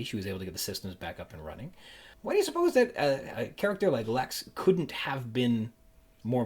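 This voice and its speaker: A man hosting a podcast interview in a casual, halting, rambling way with a playful, joking streak. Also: medium pitch, darkish tone, husky. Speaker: podcast host interviewing a guest.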